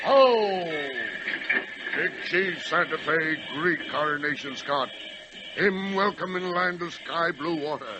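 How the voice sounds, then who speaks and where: An actor playing a proud talking locomotive who poses as a chief, declaiming in stilted broken English in an old radio play. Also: male voice, fairly high pitch, slow, music in the background. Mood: booming, proud. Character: proud, boastful male locomotive posing as stereotyped chief. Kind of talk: 1940s radio play acting. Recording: dull, hissy old radio recording.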